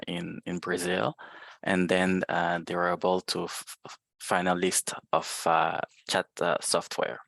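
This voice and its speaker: A man whose first language is French, giving a webinar presentation over a video call in a halting way with many fillers. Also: low-pitched, vocal fry, fairly bright tone, no echo. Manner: hesitant, many filler sounds. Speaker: guest presenter, librarian.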